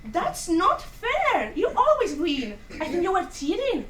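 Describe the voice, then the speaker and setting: Mischievous elderly Cuban mother, woman performer, very high pitch, stage reading, distant roomy sound. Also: wildly swooping pitch, a little slow, a bit bright, exaggerated voice. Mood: mock complaining. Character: playful, sociable old Cuban mother, mischievous. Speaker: student actor in a dramatic reading. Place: echoey room at a live performance. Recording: roomy, distant mic, faint noise.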